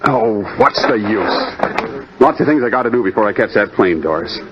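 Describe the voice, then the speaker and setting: Low-voiced, frustrated suitor, vintage radio. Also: male voice, huge pitch swings, normal speed, vocal fry, full character voice. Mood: bitter. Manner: earnest, pressing, bitter edge. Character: frustrated, insistent suitor pressing his sweetheart to leave. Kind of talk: 1940s-50s radio drama acting. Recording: dull old radio recording, crowd chatter behind.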